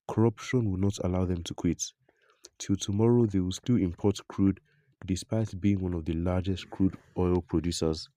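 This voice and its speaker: A man reads a news report in a low, mellow voice. His delivery is steady and scripted, recorded dry and close with no room sound. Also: no room sound. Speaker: news narrator. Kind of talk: scripted news narration, reading out quotes and comments.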